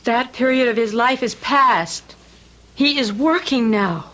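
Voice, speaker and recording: An actress playing a devoted, anxious young wife in a radio drama, pleading and faltering. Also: female voice, fairly high pitch, measured pace, fairly bright tone. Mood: defensive, anxious. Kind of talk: old-time radio drama acting. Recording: muffled old radio recording.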